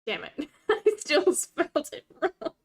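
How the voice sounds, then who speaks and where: A young Australian woman talks at an unhurried pace, giggling and teasing herself as she types. Her voice sits fairly high. She often mutters to her screen. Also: sing-song. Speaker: co-host. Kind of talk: casual worldbuilding chat while typing.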